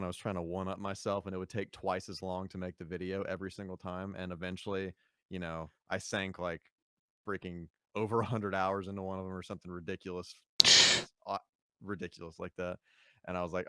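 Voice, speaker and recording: Podcast guest, man, very low smooth voice, easygoing rambling talk, dry close sound. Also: quick pace, dry recording. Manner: relaxed, rambling with fillers and laughs. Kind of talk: casual podcast chat.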